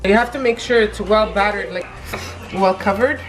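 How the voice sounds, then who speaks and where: A woman's voice: mid-range voice, moderate pace. A woman in her thirties with a stuffy, congested voice, casually talking through a recipe step by step in her home kitchen. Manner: relaxed, chatty step-by-step explaining. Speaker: home cook filming a cooking vlog. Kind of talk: casual cooking narration. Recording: echoey kitchen with music underneath.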